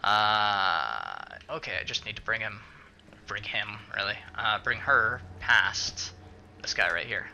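A man's voice: fairly low pitch, sing-song, slow pace, gravelly, pushed and bright, highs cut off, slight background noise. A man giving casual let's-play commentary, muttering to himself and swearing mildly as he plays. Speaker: gaming video host.

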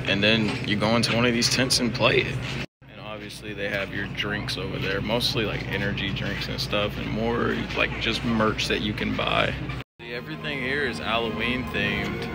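A young man with a raspy, worn-out voice, chatting casually as he vlogs through a theme park at night on a phone held at arm's length. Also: on the low side. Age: young adult. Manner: chatty, rambling, laughs often. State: exhausted. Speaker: vlogger. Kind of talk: casual vlog walkthrough. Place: outdoor theme park and shopping area at night, rainy.

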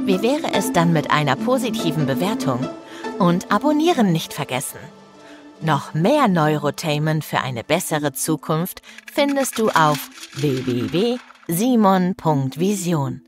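A woman's voice: low voice, big pitch swings, measured pace. A woman reading a scripted podcast outro as a promotional announcer. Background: music.

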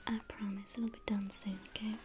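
A young woman playing a sweetly menacing female circus clown in an ASMR roleplay, coaxing and teasing in a breathy whisper. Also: medium pitch, level tone, fairly quick, background noise, natural voice. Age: young adult. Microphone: close ASMR microphone. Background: music.

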